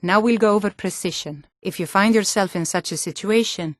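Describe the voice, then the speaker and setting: A woman's voice: medium pitch, a little fast, no room sound, studio quality, natural voice. A woman voicing a guarded, self-reliant young archery instructor in a video game, plain-spoken and composed. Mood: composed, coaching. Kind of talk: video game character dialogue.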